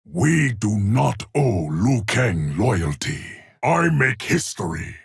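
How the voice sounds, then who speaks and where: A man playing a stern, ancient keeper of time in a fighting video game, with a gravelly, husky voice and a formal, portentous delivery. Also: medium pitch, sing-song, slow, no room sound, clean studio sound, heavily acted. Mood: solemn, measured, then commanding, ominous. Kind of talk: dramatic fighting game character lines.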